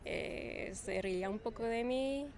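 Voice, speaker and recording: A woman's voice: fairly high pitch, takes it slowly, creaky, a little hiss or hum. Chatty woman, light laughs. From Quebec, Canada. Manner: relaxed, laughs often, hesitant fillers. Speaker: guest. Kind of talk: casual interview conversation.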